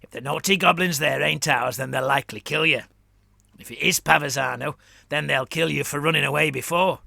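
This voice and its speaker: A man voicing a grinning, brutal male orc warboss who growls and gloats in rough slang. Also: fairly high pitch, fairly quick, bright, forceful tone, no room sound, clean studio sound, exaggerated voice. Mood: gloating.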